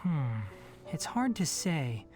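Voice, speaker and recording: An actor playing a thoughtful male investigator in a game, pondering things deliberately and observantly. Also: fairly high pitch, sing-song, music in the background. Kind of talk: game story dialogue.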